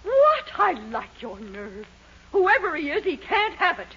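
A brusque, grasping landlady in a 1940s radio sitcom. Her very high voice swoops sharply. The old recording is dull and muffled. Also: wildly swooping pitch, a little slow, full character voice. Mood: indignant. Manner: sharp, indignant. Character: brusque, grasping middle-aged landlady mother.

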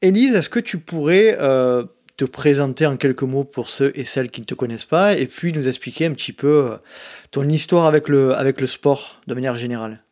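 A man's voice: medium pitch, lively intonation, fairly quick, a little mellow. A man hosting a podcast and interviewing guests in a casual way, with many fillers and repeated words. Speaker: podcast host.

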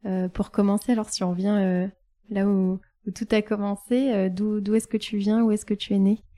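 A woman's voice: mid-range voice, level tone, moderate pace, mellow, no echo, clean studio sound. A woman hosting a podcast, reading a scripted intro and then interviewing her guest with many hesitations and restarts. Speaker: podcast host. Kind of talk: casual interview.

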